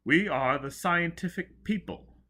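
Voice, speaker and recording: A man voicing a solemn, zealous male leader of a science cult, declaiming loudly and ceremonially in an audiobook. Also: fairly high pitch, measured pace, pitched above the performer's own voice.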